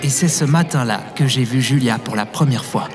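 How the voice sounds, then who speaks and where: An actor playing an easygoing, forgetful, cheerful young barista in an audio drama, with a casual, good-natured manner. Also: male voice, mid-range voice, moderate pace, over music, close to an everyday voice. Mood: reminiscing. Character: Timo, easygoing, forgetful, cheerful young male barista telling his past. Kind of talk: audio drama acting and informal storytelling.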